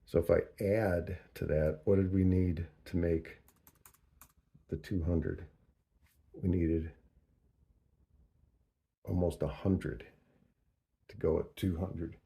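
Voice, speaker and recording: Deep, gravelly man explaining casually. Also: steady tone, warm tone. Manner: deliberate, halting, working through figures aloud. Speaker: host of a DIY audio tutorial. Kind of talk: casual, thinking-aloud tutorial narration.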